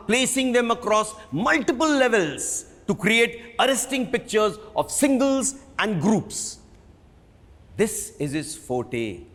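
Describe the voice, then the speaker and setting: Indian male stage reader, high-pitched and slow, theatrical narration with dramatic pauses, reverberant hall. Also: fairly bright tone. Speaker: stage actor giving a dramatic book reading. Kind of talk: dramatic literary reading, scripted narration. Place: large auditorium at a live reading. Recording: echoey hall with long reverb.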